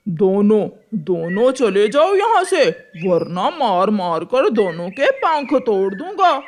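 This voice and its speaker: A woman voicing a gruff, ageing father crow who thieves, curt and instructing, in a children's cartoon story. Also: medium pitch, sing-song, takes it slowly, a little mellow. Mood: threatening.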